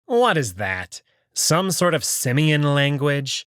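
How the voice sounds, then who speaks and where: An imperious wolf aristocrat from a visual novel, acted by a man, menacing and quick to disgust. He speaks slowly with sneering, swooping intonation, recorded dry. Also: a little high, very animated, a bit bright, smooth, no echo, full character voice. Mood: menacing, scornful. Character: haughty male alien wolf noble, menacing, easily disgusted.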